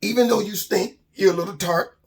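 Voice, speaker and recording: A man's voice: high-pitched, fairly quick, voice pushed up, close to an everyday voice. A man voicing a scrawny, timid but plucky young bird in a children's story, hesitant and defensive in a casual way. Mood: awkward candour. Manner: hesitant, casual, exasperated protesting.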